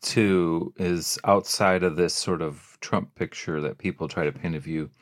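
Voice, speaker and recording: A man's voice: deep voice, fairly even intonation, a little slow, dry recording, studio quality. A man interviewing a guest, asking long, rambling questions full of hedges.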